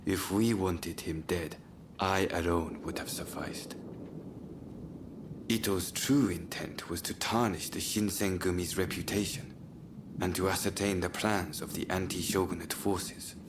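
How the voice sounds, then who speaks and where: Deep, stern swordsman, noisy audio. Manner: measured, stern, formal. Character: grim, calculating male Shinsengumi swordsman, coolly confident. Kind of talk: dramatic video game cutscene acting.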